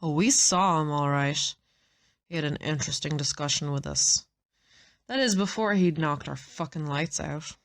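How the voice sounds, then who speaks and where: A woman's voice: low-pitched, moderate pace. A woman acting in an audio drama as a brash, sardonic, hard-bitten assassin who swears freely. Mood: weary, sardonic. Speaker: voice actor in an audio roleplay.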